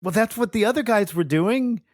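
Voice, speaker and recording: A man's voice: high voice, lively intonation, moderate pace, no room sound, clean studio sound. A man playing a tabletop game, chatting casually in a bemused way and often restarting his sentences. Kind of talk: casual improvised role-play chat.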